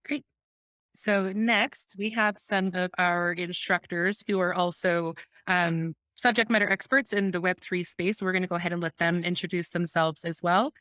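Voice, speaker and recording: A woman hosting an online webinar, with a slightly low voice. She talks in a light, easygoing way. The recording is dry. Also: steady tone, normal speed, fairly bright tone, no room sound. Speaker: webinar host. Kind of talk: moderating a webinar.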